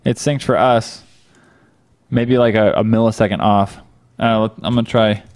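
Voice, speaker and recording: A man's voice: lowish voice, fairly even intonation, normal speed, a little mellow. A man, chatting casually with viewers as a livestream host into a close desk microphone. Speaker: live stream host. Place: home office room, indoors. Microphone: close desk microphone on boom arm.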